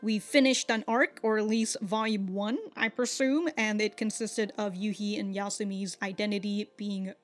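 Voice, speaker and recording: A woman's voice: mid-range voice, fairly even intonation, moderate pace, hardly any pauses. A woman hosting a reaction video, commenting casually in slangy, sweary language.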